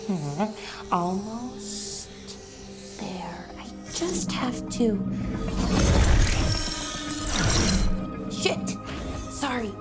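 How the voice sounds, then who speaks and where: An actress playing an earnest, blunt elven mage companion with emotional delivery in a dramatic fantasy game. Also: female voice, medium pitch, lively intonation, very slow, loud music behind the voice. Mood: focused, flustered. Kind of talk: dramatic video game acting.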